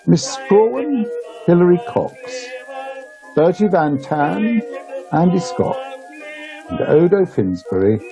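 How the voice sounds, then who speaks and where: An actor playing a pedantic, solemn country-house gentleman in an amateur radio play, speaking in formal, measured phrases. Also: high voice, very animated, creaky, full character voice, low-quality recording. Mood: formal announcing. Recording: music behind, home online recording.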